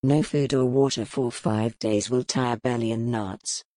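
A woman voicing a suspicious, gruff nobleman who speaks bluntly and scornfully. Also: a bass voice, steady tone, measured pace, dark tone, dry recording, voice pushed down.

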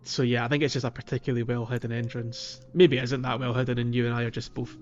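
A man's voice: mid-range voice, flat delivery, fast talker, lo-fi sound, faint music behind. A man co-hosting a podcast in casual chat, thinking aloud with a hesitant delivery. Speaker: podcast co-host. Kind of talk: casual chat, loosely explaining gameplay.

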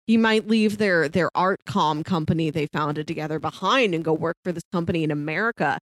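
A woman's voice: deep voice, a little fast, dry recording, clean studio sound. A woman co-hosting a podcast, casually talking through a TV series and animatedly retelling it with acted-out quotes. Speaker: podcast co-host.

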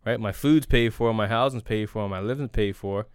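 A young man with a low, smooth voice hosts a casual interview, talking openly and loosely with fillers and restarts. The sound is close and dry, with no room echo. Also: normal speed. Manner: relaxed, candid, frequent fillers and restarts. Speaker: podcast host.

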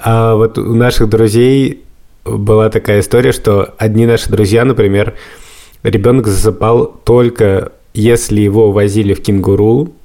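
A man's voice: on the low side, steady tone, moderate pace, dark tone. A man co-hosting a podcast, chatting and telling stories in a conversational way. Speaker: podcast co-host. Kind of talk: casual podcast chat, storytelling.

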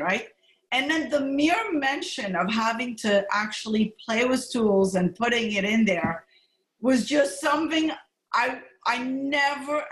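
A woman's voice: on the high side, a bit bright, reverberant, rough recording. A middle-aged woman gastroenterologist who grew up in Montreal, talking candidly and with laughs in a podcast interview. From Montreal, Canada. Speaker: gastroenterologist guest.

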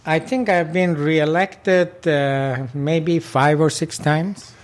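A man's voice: fairly high pitch, steady tone, slow pace. An older man from Iran, a local club president, recalling his past in an oral history interview with many fillers and restarts.

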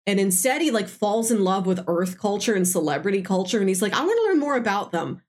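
A woman's voice: mid-range voice, sing-song, fast talker, darkish tone. A woman hosting a podcast, chatting casually and effusively recommending films she loves. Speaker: podcast host. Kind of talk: casual film discussion chat.

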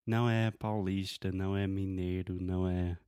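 A man's voice: low voice, little pitch movement, normal speed, mellow, clear, resonant tone, no room sound, clean studio sound. An American man whose first language is English, hosting a podcast and speaking Portuguese as a learner, with restarts and questions about words. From United States. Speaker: podcast host. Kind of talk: casual conversation.

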